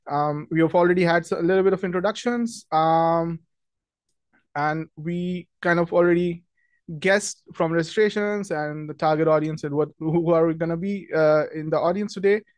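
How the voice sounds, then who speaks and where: Young man from Pakistan, friendly webinar host, slightly higher voice, dry close sound, many fillers. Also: no room sound. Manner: friendly, chatty, many ums and restarts. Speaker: webinar host, product marketing manager. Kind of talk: casual webinar presentation.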